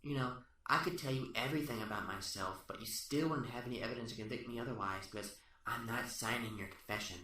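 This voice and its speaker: A man's voice: mid-range voice, fast, close to an everyday voice. A man playing a smug, sadistic serial killer who taunts the police with mock politeness in a radio drama. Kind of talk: amateur radio drama acting. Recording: roomy, distant, under loud music.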